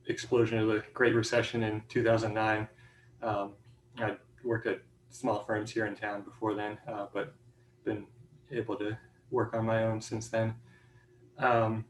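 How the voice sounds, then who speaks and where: A man in his forties giving an informal self-introduction in a hesitant, halting way. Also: on the low side. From Hungarian-born, grew up in the Washington DC area. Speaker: meeting participant, architect. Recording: roomy echo with faint noise.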